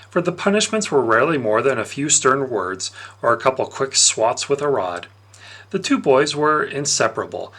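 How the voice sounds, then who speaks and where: A man narrating a story aloud in an expressive, animated storytelling manner. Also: mid-range voice. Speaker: reader of an audiobook.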